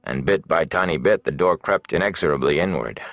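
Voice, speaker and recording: A man's voice: rumbling low, fairly quick, creaky, fairly bright tone, no echo, clean studio sound. A man reading scripted fantasy narration dramatically as an audiobook narrator.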